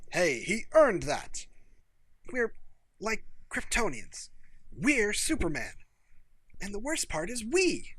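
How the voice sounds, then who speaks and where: A man's voice: high voice, pushed and bright, no echo, voice pushed up, heavily acted. A man voicing a bitter, agitated young American barkeep who talks in emphatic, sweary, sarcastic rants.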